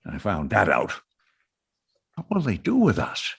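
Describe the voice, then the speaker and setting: An actor playing a shaken survivor who keeps asking anxious questions in a radio drama. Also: male voice, lowish voice, huge pitch swings, measured pace, gravelly, breathy edge, heavily acted.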